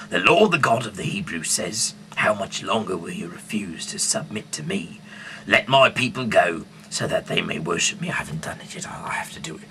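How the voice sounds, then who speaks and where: A man reads scripture aloud in a very low, creaky voice. He stumbles and hesitates, leaning hard on some phrases and letting others trail into a murmur. The amateur recording has clearly audible noise behind him. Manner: halting, stumbling over words, with sudden loud emphasis. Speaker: amateur Bible reader. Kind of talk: reading scripture aloud with off-the-cuff asides.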